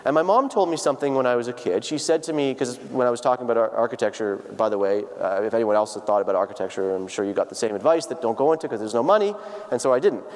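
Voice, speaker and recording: A man's voice: medium pitch, fast. A man in his thirties giving a personal, earnest lecture to students, often restarting his sentences with fillers. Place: large lecture hall. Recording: long hall reverb.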